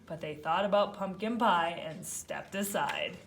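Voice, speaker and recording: A woman narrating a children's storybook read-aloud with expressive emphasis. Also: on the low side, a little slow, a little hiss or hum.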